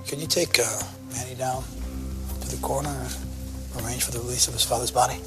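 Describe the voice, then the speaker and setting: An actor playing a terse, quietly compassionate police lieutenant in a crime drama, clipped and plain-spoken. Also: male voice, mid-range voice, fairly even intonation, quick pace, over music, lightly acted. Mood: calm, gentle.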